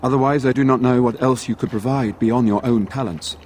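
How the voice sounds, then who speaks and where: A man's voice: medium pitch, a little fast, a little mellow, slight background noise, close to an everyday voice. A male actor playing a solemn, courteous knight who defends a village, speaking formally in a fantasy game. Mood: formal, earnest. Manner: formal, archaic phrasing. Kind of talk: fantasy game dialogue acting.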